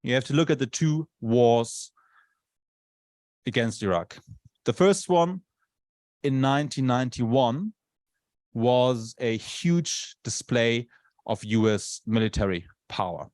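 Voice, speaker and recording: A man's voice: mid-range voice, expressive, takes it slowly, pauses often, a little mellow, dry recording. A male university lecturer who is not a native English speaker, giving a conversational lecture and often checking in with tag questions. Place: lecture room.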